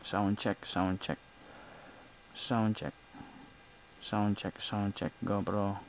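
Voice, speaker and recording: A man's voice: on the low side, fairly even intonation, very slow, a little hiss or hum, rough recording. A Filipino man giving a casual, chatty product demo in vlog style, mixing in English words. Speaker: vlogger reviewing motorcycle gear.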